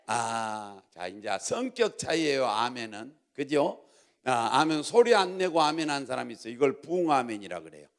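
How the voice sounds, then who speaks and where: A male pastor preaching a church sermon in a gravelly voice, exhorting and repeating himself as he prompts the congregation to respond. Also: medium pitch, big pitch swings, moderate pace.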